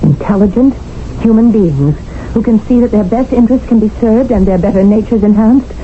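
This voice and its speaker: A woman's voice: mid-range voice, a little fast, natural voice. A woman playing a prim, earnest English spinster. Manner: stiff, precise and earnest. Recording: dull 1970s radio broadcast with faint noise.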